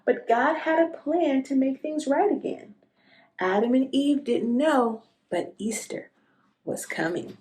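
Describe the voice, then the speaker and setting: A woman narrating a children's storybook expressively, as a read-aloud host speaking to young listeners in an unhurried storytelling way. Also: sits high, a little mellow, low-quality recording.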